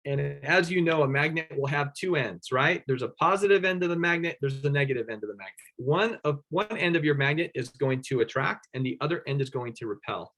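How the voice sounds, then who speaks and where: A man's voice: on the high side, expressive, a little fast, few pauses, a bit bright. A man with a Pittsburgh-area American accent presenting a networking workshop in a casual, conversational way, often checking in with "right?" and using fillers. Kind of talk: casual instructional talk.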